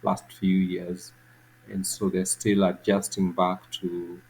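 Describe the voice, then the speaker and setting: A man from Kenya with a Kenyan accent, a podcast guest answering questions reflectively, halting with many repeats and fillers. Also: low voice, monotone, darkish tone, a little hiss or hum, rough recording.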